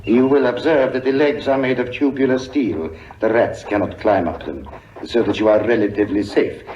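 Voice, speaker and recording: An actor playing a suave male villain who taunts his captives in a smooth, deliberate, mocking voice, from an old radio drama. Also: mid-range voice, steady tone, a little mellow, a little hiss or hum, natural voice. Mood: menacing. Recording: echoey, dull old radio broadcast from afar.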